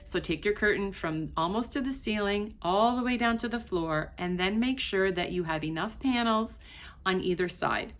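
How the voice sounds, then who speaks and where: A woman's voice: medium pitch, normal speed. A woman whose first language is Portuguese, giving casual advice to her viewers from her home in a chatty way. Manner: chatty, addressing viewers directly. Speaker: vlogger. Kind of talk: casual talk to camera.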